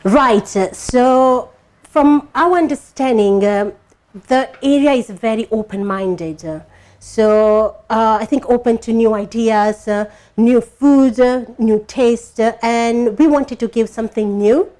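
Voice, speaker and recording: A woman's voice: on the high side, slow pace, darkish tone. A woman from India with Italian-influenced English, a deli owner answering interview questions with many fillers and restarts. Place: indoors in a new deli.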